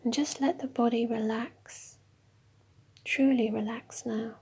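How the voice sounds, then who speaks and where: A woman leading a guided meditation in a slow, soft, mostly whispered voice. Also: fairly high pitch, steady tone, breathy edge.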